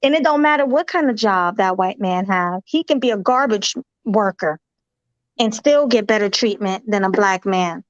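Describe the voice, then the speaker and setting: A woman's voice: medium pitch, lively intonation, normal speed, no room sound. A woman hosting a casual chat, talking conversationally and laughing between her points. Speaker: host. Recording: dull, lo-fi audio.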